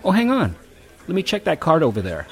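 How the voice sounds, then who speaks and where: A quirky wisecracker played by a man in a comedy audio drama. His voice is fairly high and very animated, tossing out wry remarks. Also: brisk, vocal fry, soft background music, full character voice. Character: wisecracking, quirky male gadget-hobbyist pal.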